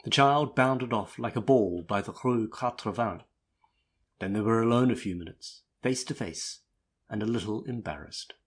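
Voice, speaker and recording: A man narrating a classic novel as a dramatic audiobook, with a husky voice. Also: on the low side, moderate pace.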